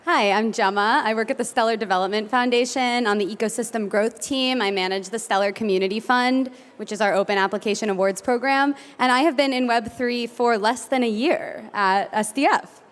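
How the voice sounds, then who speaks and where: A woman's voice: on the high side, fairly quick. A woman introducing herself and her job as a panelist. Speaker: panelist, fund manager.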